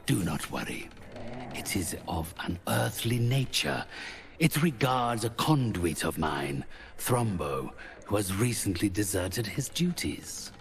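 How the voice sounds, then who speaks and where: An actor playing a haughty, cold, mercenary necromancer in a fantasy game, speaking slowly with theatrical condescension. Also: male voice, lowish voice, very animated, heavily acted. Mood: cold, businesslike. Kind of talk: cinematic fantasy game dialogue.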